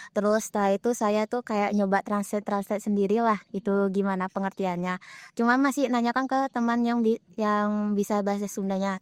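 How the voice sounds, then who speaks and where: A woman's voice: medium pitch, clean tone, no room sound. A young woman, a student explaining her experiences in an earnest, casual way. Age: young adult. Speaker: student interviewee. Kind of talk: casual group interview.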